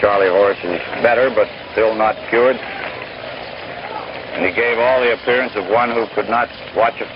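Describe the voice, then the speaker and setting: American ballgame announcer on muffled, noisy old radio. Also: male voice, on the low side, fairly even intonation, normal speed. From United States. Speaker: baseball radio commentator. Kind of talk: old-time radio sports play-by-play commentary. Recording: noisy, muffled 1930s-1940s radio recording.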